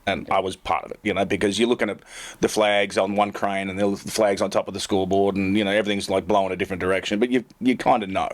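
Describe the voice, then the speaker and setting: A man with a very low voice tells stories from years of caddying on tour. He talks fast, restarts his sentences, and pokes fun at himself. It sounds like a relaxed podcast conversation. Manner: rambling, self-deprecating, laughs through anecdotes. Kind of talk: casual podcast storytelling.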